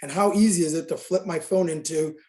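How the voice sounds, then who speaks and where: A man's voice: fairly high pitch, fairly even intonation, fairly quick, dark tone, echoey room. A middle-aged man speaking candidly and earnestly on a video call meeting, talking to fitness business owners.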